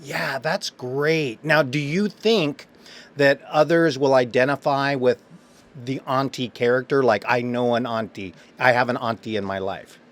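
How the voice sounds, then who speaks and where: A man's voice: mid-range voice, unhurried, soft background music. A man interviewing someone casually, with an enthusiastic, encouraging way of talking.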